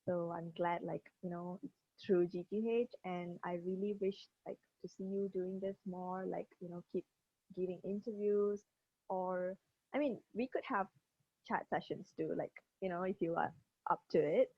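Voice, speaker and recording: A woman's voice: fairly low pitch, fairly even intonation, moderate pace, breathy edge, no room sound. A young woman from Malaysia, interviewing a guest in an effusive way, full of "like" and "you know". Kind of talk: casual interview over a video call. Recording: dull dry lo-fi call audio.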